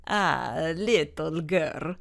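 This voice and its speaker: Kindly, chuckling Italian man, played by a woman, thick accent, unhurried and halting, for a storybook. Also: low voice, expressive, gravelly, voice pushed down, full character voice. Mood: amused.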